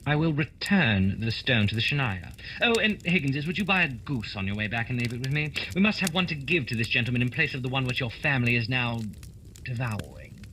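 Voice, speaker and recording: Man playing superior gentleman sleuth, declamatory, forceful bright tone, radio drama, faint background noise. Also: mid-range voice, lively intonation, fairly quick, low-quality recording. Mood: brisk. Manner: precise, declamatory, wry. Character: incisive, theatrical gentleman detective, coolly superior. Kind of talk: holiday radio play acting.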